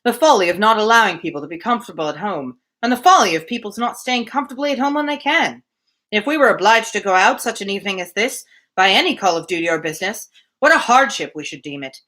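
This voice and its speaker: Storybook gentleman, played by a woman: grumpy, opinionated, formal, crisp-edged bright tone, lively pitch movement. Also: fast. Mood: grumbling. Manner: formal, opinionated, emphatic phrasing. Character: grumpy, blunt, sly gentleman brother-in-law.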